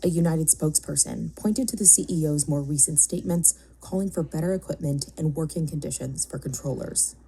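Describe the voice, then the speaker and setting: A woman's voice: low voice, brisk, gravelly, hardly any pauses, breathy edge. A woman reading scripted news narration in the role of a video report narrator. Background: soft music bed.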